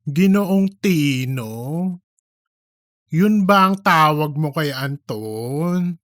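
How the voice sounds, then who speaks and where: A man voicing a theatrical office gossip who spins absurd tales in drawn-out style for a comic audiobook. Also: fairly high pitch, lively intonation, fairly bright tone, dry recording, studio quality, heavily acted. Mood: eager gossip. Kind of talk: scripted comic audiobook dialogue.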